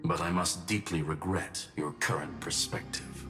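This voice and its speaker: A stately gentleman scholar in a game, his voice low and his wording impeccably polite. He sounds precise and calmly confident over prominent music. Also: unhurried. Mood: courteous resolve. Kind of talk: formal video game voice acting.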